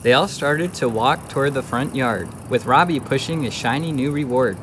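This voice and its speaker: A man's voice: medium pitch, moderate pace, fairly bright tone, a little hiss or hum, close to an everyday voice. A man narrating a scripted children's puppet story.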